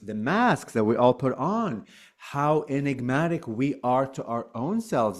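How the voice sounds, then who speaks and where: A man in his forties, born in Lebanon, talking as a guest in a casual interview, loose and animated with frequent "like" and "um" fillers. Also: mid-range voice. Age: 40s.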